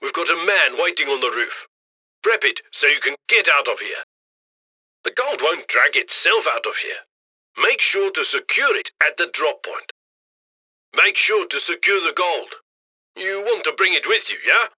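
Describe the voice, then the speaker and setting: A man's voice: on the high side, lively intonation, normal speed, bright, forceful tone, no room sound, studio quality, heavily acted. An actor voicing a cocky, upbeat criminal heist handler in a video game, slick and conversational as he directs and eggs on the crew. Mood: confident, instructing. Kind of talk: video game mission voice-over.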